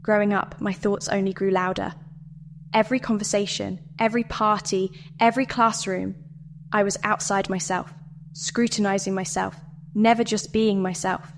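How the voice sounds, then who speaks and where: A woman delivering a scripted guided meditation and dramatic monologue in a measured, deliberate way with pauses. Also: mid-range voice, steady tone, a little hiss or hum. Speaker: meditation narrator.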